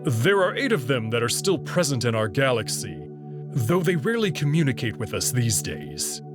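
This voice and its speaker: A proud, guarded alien man, as a male reader voices him, explaining in a measured, unhurried way. His pitch moves in a lively way even when he speaks slowly. Music plays steadily behind him. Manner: measured and slow, prideful about his species. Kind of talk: visual novel reading.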